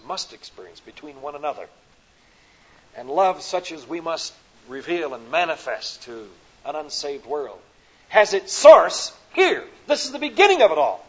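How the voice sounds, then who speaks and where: A man from the American Midwest with a Midwestern accent, preaching a sermon at a conference, rising to loud emphatic peaks and dropping to soft, slow phrases. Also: on the high side, husky. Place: conference hall. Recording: dull lo-fi recording.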